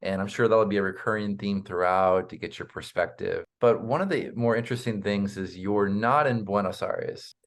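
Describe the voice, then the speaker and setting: A man hosts an interview podcast in a loose, easygoing way, pausing on fillers as he builds his questions. His voice is on the low side. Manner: relaxed, hesitant, many ums. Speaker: podcast host. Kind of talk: casual interview hosting.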